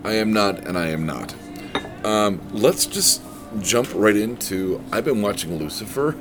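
Very deep-voiced man, music behind. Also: lively intonation, measured pace. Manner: rambling, crude jokes, many fillers. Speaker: podcast co-host. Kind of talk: casual chat. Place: restaurant. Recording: podcast recorded in a restaurant with music behind.

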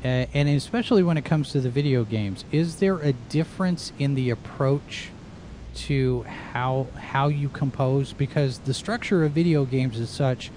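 Male interviewer, mid-pitched, meandering questions, faint rumble behind. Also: darkish tone, poor audio. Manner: rambling, searching questions with restarts.

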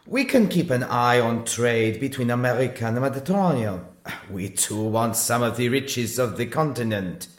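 A man's voice: medium pitch, expressive, moderate pace, hardly any pauses, close to an everyday voice. A man playing a suave, scheming French colonial power in a radio play, formal and courtly. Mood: courteous, scheming. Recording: roomy, echoing recording.

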